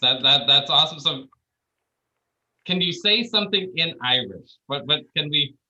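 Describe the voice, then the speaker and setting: Man, host, stammers, repeats words, very bright voice, muffled recording. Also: fairly high pitch, animated, normal speed. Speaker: student show host. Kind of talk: casual interview.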